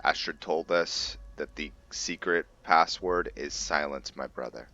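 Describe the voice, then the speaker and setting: A man's voice: extremely low pitch, measured pace, sharp tone, dull audio. A man narrating his roleplay playthrough of a video game, casually thinking aloud and correcting himself as he goes. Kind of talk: casual let's-play commentary.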